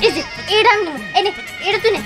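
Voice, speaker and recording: A quick-tempered young wife in a comic cartoon. The voice is extremely high and childlike, whining and combative in colloquial Bangladeshi speech. Loud music runs behind it. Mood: squabbling.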